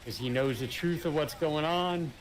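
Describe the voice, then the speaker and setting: A man's voice: a little high, moderate pace, rough recording. A man chatting casually while gaming, hesitant and full of fillers as he thinks aloud. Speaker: gamer co-op player.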